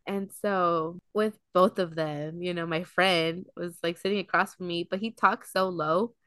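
A woman's voice: lowish voice, fairly even intonation, unhurried, fairly bright tone, clean tone, no room sound, studio quality. A young woman co-hosting a casual podcast, telling stories between friends, full of "like" and "um," with a cold coming on. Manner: chatty storyteller, lots of "like" and "um". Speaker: podcast co-host.

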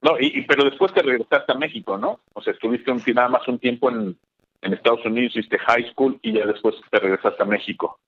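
A male interview host asking questions in a hesitant, halting way. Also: medium pitch, no room sound. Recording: dry, tinny phone-like line.

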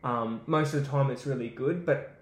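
A man's voice: medium pitch, normal speed. An easygoing, upbeat man interviewing podcast hosts, often saying "you know". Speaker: guest interviewer. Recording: roomy echoey room.

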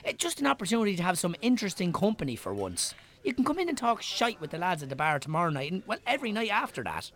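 An actor with an Irish accent playing a breezy, persuasive publican and matchmaking friend in a naturalistic radio drama. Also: male voice, high voice, huge pitch swings, quick pace, few pauses, fairly bright tone, full character voice. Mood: breezy, coaxing.

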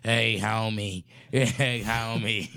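A man hosting a casual radio chat, with a raspy, deep voice, joking around and laughing a lot. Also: steady tone, extremely slow, pushed and bright, no echo. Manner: playful, laughs often, breaks into off-key singing. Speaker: radio show host.

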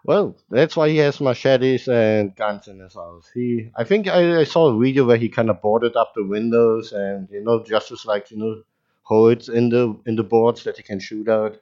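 A man's voice: medium pitch, normal speed, warm tone. A man co-hosting a podcast in casual chat, rambling loosely and laughing often. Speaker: podcast co-host. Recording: dull lo-fi call audio.

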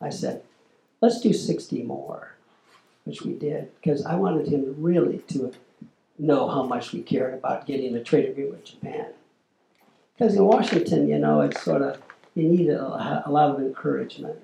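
A man's voice: mid-range voice, very animated, normal speed, gravelly, mellow, reverberant, a little hiss or hum, rough recording. An older man giving a storytelling lecture, acting out the quoted exchanges as he talks. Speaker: lecturer, former corporate lobbyist. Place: lecture hall.

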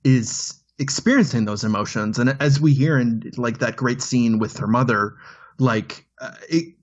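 A man on a film podcast, thinking aloud with many halting restarts. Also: mid-range voice, dull audio. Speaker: podcast panelist. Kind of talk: casual film discussion.

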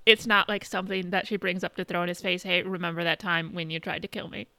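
Clear, bright-voiced woman chatting casually. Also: lowish voice, level tone, fast, no echo. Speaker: podcast co-host. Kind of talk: casual chat, recapping shows and films.

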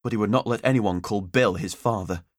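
A man's voice: fairly low pitch, fairly quick, dry recording. A man reading a children's story as an audiobook narrator, in scripted narration.

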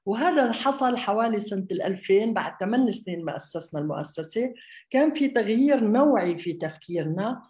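A woman's voice: mid-range voice, animated, fast talker, a little mellow. A woman raised in Jordan, an architect giving a conversational public talk, hesitant, with many fillers and restarts. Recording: echoey room.

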